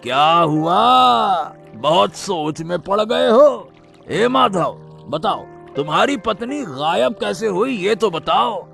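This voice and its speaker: A man voicing a suspicious, threatening wrestler in a cartoon story, with a taunting, drawn-out, insinuating delivery. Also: high voice, gravelly, a bit bright, heavily acted. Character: suspicious, threatening male wrestler interrogating a boatman. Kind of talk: Hindi moral-story cartoon dubbing.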